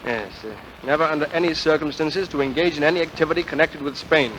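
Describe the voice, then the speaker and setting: An actor playing a wisecracking young American prisoner in 1940s radio drama style, with a flippant, sardonic delivery. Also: male voice, on the high side, fast, a bit bright, close to an everyday voice. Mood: breezy, sardonic. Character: wisecracking young American adventurer condemned to a firing squad. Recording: noisy vintage 1940s radio recording.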